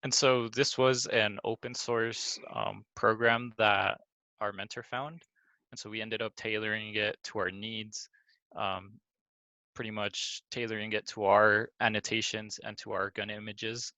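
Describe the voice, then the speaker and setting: A man's voice: lowish voice, little pitch movement, measured pace, gravelly, bright, forceful tone, no echo, low-quality recording. A young man giving an informal student project presentation over a video call.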